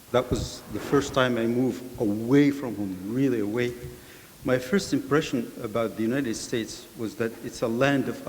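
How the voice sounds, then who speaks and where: A middle-aged man from Morocco answers panel questions thoughtfully, pausing as he gathers his points. His voice sits in the middle register and echoes through a large hall, with a faint hiss behind it. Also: medium pitch. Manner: thoughtful, measured, with filler pauses.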